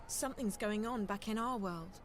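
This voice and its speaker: A woman's voice: mid-range voice, moderate pace, slight background noise, close to an everyday voice. An actress playing an earnest, insistent young woman out of her element in a game. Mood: pleading. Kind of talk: game voice acting.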